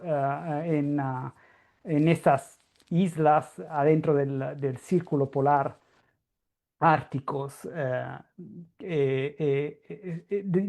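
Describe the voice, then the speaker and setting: Slow, halting man with Italian accent. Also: fairly high pitch, a little mellow. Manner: halting, explanatory, frequent restarts. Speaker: guest lecturer, astrophysicist. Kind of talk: academic conference talk.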